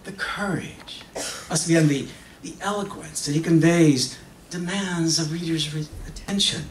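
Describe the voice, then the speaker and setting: Slow, theatrical middle-aged man on stage. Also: on the high side. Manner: expressive, emphatic, with dramatic pauses; switches between English and Spanish. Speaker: performer of a dramatic reading. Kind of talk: theatrical dramatic monologue read from a script. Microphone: microphone on a stand. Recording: echoey hall from far off, faint noise.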